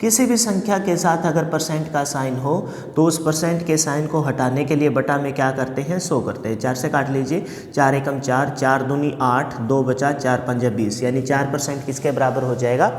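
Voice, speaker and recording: A man teaches a math class in a steady lesson voice, his throat a little hoarse. He keeps asking his students questions and answering them himself, repeating each key point. The sound bounces around a bare room and leaves a long echo behind every phrase. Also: mid-range voice, moderate pace, hardly any pauses. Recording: echoey room with long reverb.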